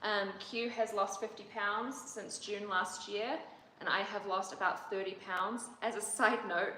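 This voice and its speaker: A woman's voice: medium pitch, fairly even intonation, unhurried, pushed and bright, echoey room, slight background noise, low-quality recording. A young woman who grew up in New Zealand, chatting casually and rambling as she co-hosts a livestream with her husband. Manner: chatty, rambling, laughs often. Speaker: co-host of a livestream.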